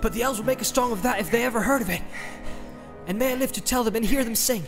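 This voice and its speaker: A loyal hobbit servant played by a male narrator speaks high and excitably. He is homely, earnest and pleading, a storybook companion heard over faint music. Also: fast. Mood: excited pleading. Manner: earnest, pleading, folksy exclamations.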